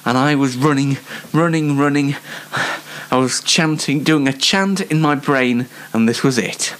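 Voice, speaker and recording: A man's voice: mid-range voice, unhurried, a bit bright. A young British man telling casual anecdotes as a show host, in a chatty, rambling and self-deprecating way. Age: young adult.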